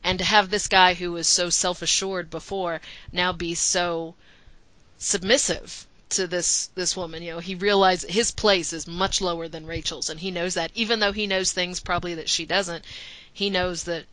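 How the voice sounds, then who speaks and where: A woman from Texas with a mild Texan accent, co-hosting a casual podcast, chatty and quick to laugh. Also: lowish voice, dry recording, rough recording. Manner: chatty, laughs often, animated asides. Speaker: podcast co-host.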